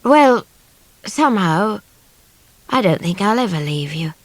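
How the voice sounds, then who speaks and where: A woman voicing a gentle, resigned man in hiding, wistful and hesitant, in an audiobook story. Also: mid-range voice, sing-song, a little slow, no room sound.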